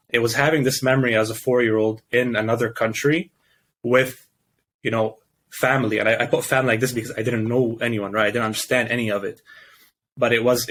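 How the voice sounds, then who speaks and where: A young man from Canada talks in a relaxed interview. He speaks in a middle register, stopping and restarting often as he gathers his thoughts, with frequent fillers. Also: medium pitch, slight background noise. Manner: hesitant, many restarts and fillers. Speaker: guest. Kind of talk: casual interview chat.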